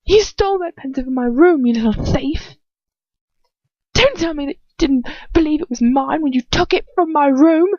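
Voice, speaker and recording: A woman voicing a cruel, furious young male wizard who shouts and spits insults. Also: high voice, normal speed, no echo, lo-fi sound, voice pushed up, lightly acted. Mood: accusing.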